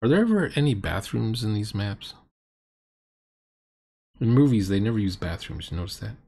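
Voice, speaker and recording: A man's voice: on the low side, expressive, normal speed, creaky, darkish tone. A middle-aged man giving critical, sarcastic commentary on a roleplaying game in a grumbling, mocking way. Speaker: commentator reacting to a recorded roleplaying session.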